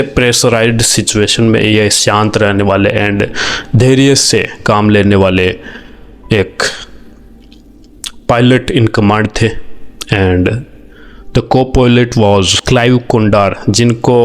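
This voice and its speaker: A man with an Indian accent gives explanatory news commentary in a halting way, often rephrasing and adding asides. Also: mid-range voice. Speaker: video narrator explaining a news event. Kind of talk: explanatory commentary, semi-scripted, mixing Hindi and English.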